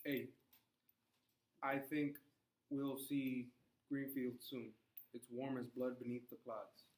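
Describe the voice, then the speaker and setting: A man's voice: medium pitch, level tone, takes it slowly, a little mellow, close to an everyday voice, rough recording. A man reading the part of a plain-spoken Puritan farmer husband in a play read-aloud. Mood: earnest, plain.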